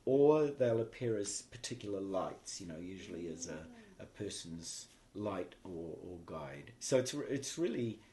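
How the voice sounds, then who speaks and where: A man's voice: low-pitched, animated, unhurried, hardly any pauses, dark tone. A man with a New Zealand accent, a guest telling his life story in a reflective, meandering way, often restarting his sentences. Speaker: guest, spiritual teacher. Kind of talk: casual storytelling interview.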